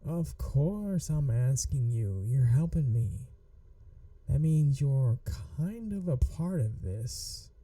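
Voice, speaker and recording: A woman acts out a flirty, adorkable female manager in an ASMR roleplay. Her voice is very soft and dark, teasing and fond, with unhurried phrasing that rises and dips expressively. The sound is dry and intimate. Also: very deep voice, animated, no echo, far from the mic, full character voice. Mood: coaxing, flirty. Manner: soft, coaxing, playfully teasing, slipping into whispers. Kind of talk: ASMR roleplay, acted monologue to listener.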